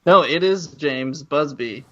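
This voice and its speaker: A man's voice: fairly high pitch, takes it slowly, fairly bright tone, muffled recording. A man hosting a casual comedy podcast with a dry, wry way of talking. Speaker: podcast host. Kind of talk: casual comic podcast chat.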